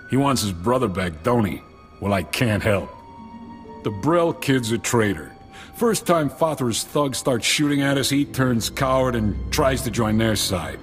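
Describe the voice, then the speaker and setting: An actor playing a hard-nosed, slangy street gang leader in a video game cutscene. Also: male voice, lowish voice, natural voice. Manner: tough, slangy.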